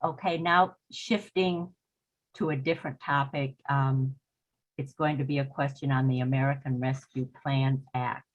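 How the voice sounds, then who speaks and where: A woman moderating a public panel, reading submitted questions aloud in a measured, formal way. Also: rumbling low, steady tone. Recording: dull, muffled meeting audio.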